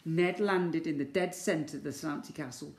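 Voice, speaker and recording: A man's voice: fairly high pitch, moderate pace, vocal fry, a crowd in the background. A man reading a children's story aloud in a theatrical way. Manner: theatrical, exclaims sound words. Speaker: school story reader. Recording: echoey room.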